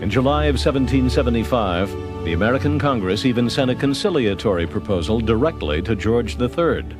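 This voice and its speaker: Guy narrating a history documentary, lively up and down pitch, music behind. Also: a little fast.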